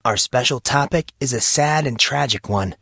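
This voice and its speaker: A man's voice: medium pitch, normal speed, vocal fry, pushed and bright, no room sound, clean studio sound. A man voicing a smug, theatrical teenage boy news anchor, delivering grandiose oratory as a mock broadcast in an audio story.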